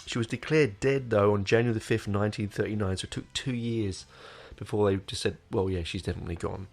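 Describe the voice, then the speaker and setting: A man's voice: fairly low pitch, steady tone, fast, darkish tone. Nasal, rambling male podcast host. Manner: rambling, many fillers and restarts. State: nasal, getting over illness. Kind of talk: casual podcast talk. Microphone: desk microphone.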